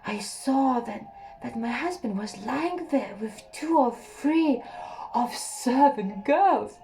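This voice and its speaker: Woman reading a story real slow, high soft voice, drops almost to a whisper sometimes. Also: lively intonation, creaky, soft background music. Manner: soft and unhurried, dropping to a near whisper at times. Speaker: audiobook reader.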